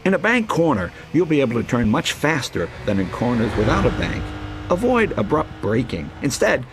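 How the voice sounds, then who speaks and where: A man giving scripted, instructional narration as a driving tutor, clearly enunciated, with racing car noise behind him. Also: mid-range voice, wildly swooping pitch, moderate pace, music in the background. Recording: loud race car engine noise. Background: racing game car sounds.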